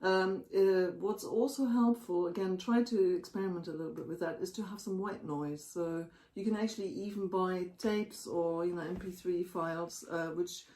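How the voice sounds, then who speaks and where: A woman giving sleep advice in a casual chat, her voice going hoarse, often clearing her throat. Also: fairly low pitch, fairly even intonation, normal speed, hardly any pauses, mellow, reverberant. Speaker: co-host.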